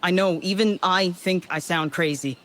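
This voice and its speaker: A man's voice: high voice, normal speed, very noisy, lightly acted. A man playing a lonely, weary colonist survivor. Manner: weary, bitter, rambling confession. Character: lonely male colonist survivor recording a desperate log. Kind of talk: game audio log.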